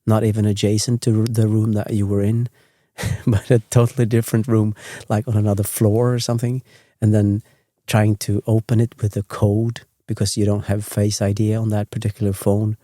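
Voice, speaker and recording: A man from Sweden who speaks English with a Swedish accent as a second language, hosting a sleep podcast in a rambling, self-correcting, gently chatty way. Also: fairly low pitch, flat delivery, normal speed, no echo, clean studio sound. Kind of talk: meandering bedtime talk.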